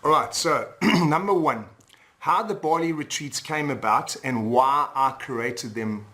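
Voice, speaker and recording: A man's voice: fairly high pitch, unhurried, pushed and bright. A man from South Africa hosting a sales webinar in an upbeat tone, often checking in with "all right?". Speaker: webinar host and retreat leader.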